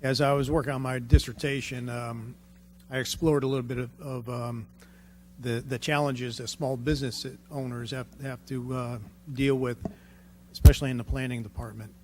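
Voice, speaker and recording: A man's voice: medium pitch, flat delivery, normal speed, darkish tone, slight background noise. A man on the city council making remarks and a motion, hesitant with many fillers. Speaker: council member.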